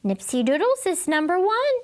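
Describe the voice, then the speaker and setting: An earnest little schoolboy from a children's story, played by a woman. The voice is high and swoops with eagerness, declaring each thing emphatically and with childlike pride. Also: big pitch swings, normal speed, a little mellow. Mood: proud, excited. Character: eager, earnest little first-grade boy who loves snacks.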